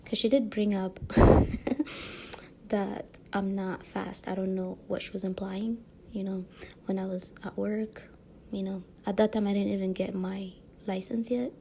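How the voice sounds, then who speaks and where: Woman vlogger, light French accent, gravelly dark voice, rambling casual talk, faint room hiss. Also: medium pitch. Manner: rambling, many fillers and tag questions; frequent soft laughs. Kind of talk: casual monologue to camera.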